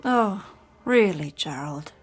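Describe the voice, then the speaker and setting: A woman's voice: mid-range voice, lively intonation, takes it slowly, slightly rough, faint music behind. A woman playing a long-suffering, politely guarded society wife in a radio drama, with an arch, understated manner. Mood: dryly reproving. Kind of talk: classic radio drama acting.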